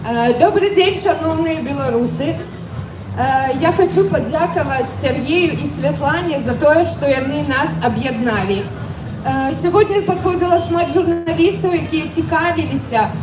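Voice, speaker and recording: High-voiced woman at a rally mic, lots of ums, echoey and far with music behind. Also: unhurried. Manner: hesitant, many fillers. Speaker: rally participant. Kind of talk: short speech to a crowd.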